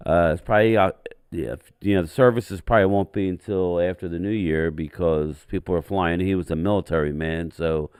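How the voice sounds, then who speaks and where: A man co-hosting a casual livestream chat, with a deep voice made raspy by a chest cold, talking haltingly with many fillers. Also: steady tone, darkish tone, dry recording, clean studio sound. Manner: halting, frequent fillers and restarts. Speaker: co-host.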